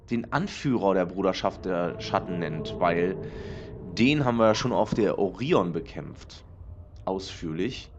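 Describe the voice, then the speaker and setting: A man hosting a let's play in casual, rambling commentary with many filler sounds, his voice slightly hoarse from a cold. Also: lowish voice. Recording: dull lo-fi mic with faint noise.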